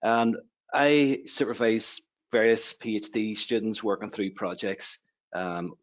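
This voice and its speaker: An Irish man speaks as a lecturer on a webinar panel, laying out technical work in an explanatory style. His voice is on the low side and the audio is somewhat dull. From Ireland. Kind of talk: explanatory presentation. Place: online webinar.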